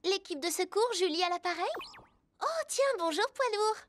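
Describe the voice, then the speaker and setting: Very high-pitched earnest girl rescuer. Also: animated, moderate pace, slight background noise. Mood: concerned, helpful. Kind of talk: French children's cartoon dubbing. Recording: loud music behind the voice. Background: music.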